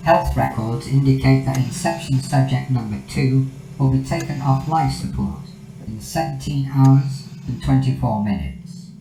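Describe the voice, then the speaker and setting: An emotionless machine intelligence from a sci-fi audio drama. It reports in clipped, precise phrases with a creaky edge. The voice echoes, with loud guitar music underneath. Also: male voice, mid-range voice, fairly even intonation, measured pace. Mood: impassive. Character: emotionless computer system reporting on a tracked subject.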